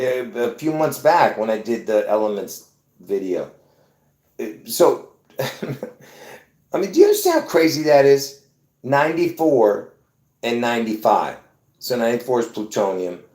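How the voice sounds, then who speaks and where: Excitable man rambling in an echoey room. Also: mid-range voice. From Lebanon. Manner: excitable, repeats himself, laughs between points. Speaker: video host. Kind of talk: rambling casual monologue to viewers.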